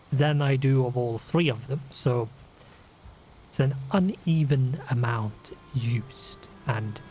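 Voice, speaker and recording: A man with a Scandinavian accent, sniffly from a runny nose, talking slowly through a game he plays. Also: mid-range voice, mellow. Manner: slow, deliberate, with restarts and fillers. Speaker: gaming channel host. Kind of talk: casual let's play commentary.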